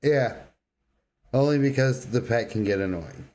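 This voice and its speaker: A man's voice: medium pitch, a little slow, darkish tone. A man co-hosting a podcast, chatting casually about video games. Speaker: podcast co-host.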